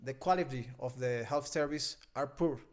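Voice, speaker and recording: A man's voice: mid-range voice, normal speed. Hesitant Venezuelan man in his thirties. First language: Spanish. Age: 30s. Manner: hesitant, searching for words, laughs often. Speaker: interview guest, film editor. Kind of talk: casual interview conversation.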